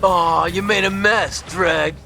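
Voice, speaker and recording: An actor voicing a cruel, sarcastic clown gangster in an audio drama. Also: male voice, high-pitched, steady tone, slow, vocal fry, pushed and bright, faint music behind, heavily acted. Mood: mocking.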